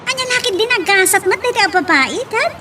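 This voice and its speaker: Very high-pitched, haughty young Ilocano daughter acted by a woman. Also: animated, brisk. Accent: Ilocano Filipino. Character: spoiled, snobbish young daughter of the boss. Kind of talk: amateur audio drama dialogue. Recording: slightly distant, faint background noise.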